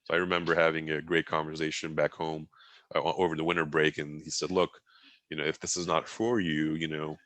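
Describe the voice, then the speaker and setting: Turkish guy, 40s, deep but bright voice, chatty and rambly, restarts a lot. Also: little pitch movement, a little fast, no echo. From Turkey. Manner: rambling storytelling with many restarts and fillers. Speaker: guest. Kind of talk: casual interview talk.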